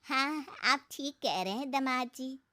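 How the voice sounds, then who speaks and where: Cartoon mother-in-law, played by a woman: middle-aged, gentle, polite, always placating. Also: high-pitched, unhurried. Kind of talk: Hindi cartoon moral-story dialogue.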